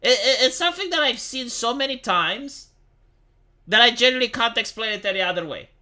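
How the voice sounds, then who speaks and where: A man's voice: very high-pitched, lively intonation, a little fast, sharp tone. A young man from Romania hosting a casual livestream, chatting with his chat and reading articles aloud, with many restarts and the odd swear. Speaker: livestream host.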